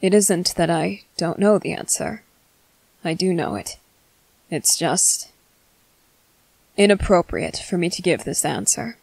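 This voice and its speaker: A woman playing a courteous, restrained male vampire commander in an ASMR roleplay, speaking in formal, halting, hungry phrases. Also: fairly low pitch, lively intonation, vocal fry, darkish tone, slightly rough, dry recording, full character voice. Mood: grave, polite. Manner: formal, halting, measured, apologetic. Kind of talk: scripted ASMR roleplay monologue.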